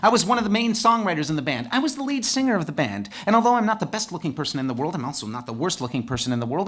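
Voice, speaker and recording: A man's voice: medium pitch, a bit bright. Candid man telling a personal story. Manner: candid, conversational, builds rhythm with repeated lists.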